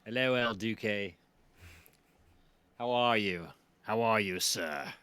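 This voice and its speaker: A man's voice: fairly low pitch, takes it slowly, pushed and bright, smooth, no echo. A man co-hosting a casual livestream, chatting in a teasing, jokey way. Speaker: stream co-host, show team member.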